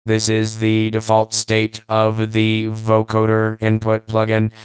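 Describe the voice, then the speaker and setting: A man demonstrating through a vocoder, his words turned into drawn-out, sing-song synthesizer robot speech. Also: on the low side, completely flat delivery, low-quality recording. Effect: vocoder, musical synthesizer robot filter. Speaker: tutorial presenter. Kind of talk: demonstrating an effect with own voice.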